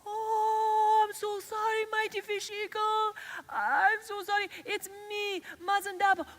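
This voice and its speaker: A woman voicing an eager young mother who pleads in a high, breathless voice. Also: level tone, slow, a bit bright, exaggerated voice. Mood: pleading.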